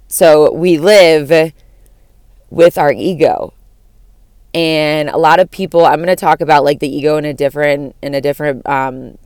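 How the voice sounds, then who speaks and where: Young woman with a deep voice, podcast rambling, lots of "like", swears a bit, smooth clear sound, dry close mic. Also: a little mellow, no room sound. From Florida. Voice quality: deep voice for a woman, cracks sometimes. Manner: rambling, profane, full of "like" fillers. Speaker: podcast host. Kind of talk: casual solo podcast musing.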